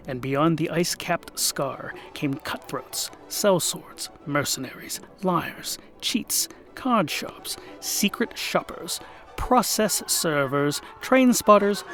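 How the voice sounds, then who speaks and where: A man narrating a comic fantasy tale as the show's host, theatrical, dropping to hushed whispers and rising to sudden bursts. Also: a little high. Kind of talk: scripted audiobook narration.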